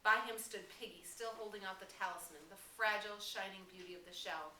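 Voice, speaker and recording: A woman reading a novel aloud as dramatic, expressive audiobook narration. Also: mid-range voice, a little fast. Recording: roomy, distant mic, faint noise.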